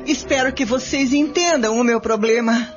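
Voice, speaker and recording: A woman's voice: a little high, moderate pace, natural voice. A woman playing a frightened, tearful young housemaid in a radio soap, pleading and overwrought. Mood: fearful. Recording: dull lo-fi radio play with music.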